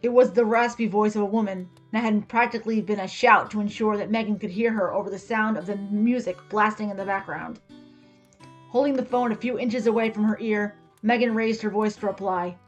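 A woman giving an expressive, scripted reading of a story as its narrator. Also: medium pitch, a little fast. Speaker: story reader. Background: music with guitar.

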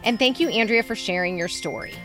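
A woman's voice: mid-range voice, quick pace, a bit bright, faint music behind. A woman co-hosting a podcast, giving candid, emphatic and blunt critique in a conversational way. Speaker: podcast co-host and editor. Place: recording studio.

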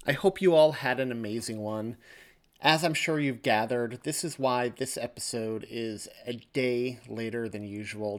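A man hosting a podcast, introducing the episode in an effusive, grateful way. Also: medium pitch. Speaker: podcast host.

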